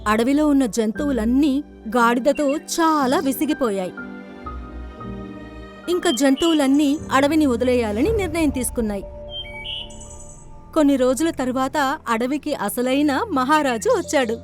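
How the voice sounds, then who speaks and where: A woman's voice: sits high, a little slow, pauses often. A woman narrating a scripted children's story. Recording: music bed behind the voice.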